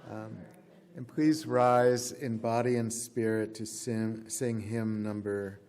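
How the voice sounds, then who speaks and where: A man leads a recitation in a large, echoing church. His voice is soft-edged and mellow, and he moves through the phrases very slowly and solemnly. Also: fairly low pitch, level tone. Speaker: worship associate. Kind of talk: leading congregational recitation. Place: church sanctuary at pulpit. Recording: long reverberant church hall.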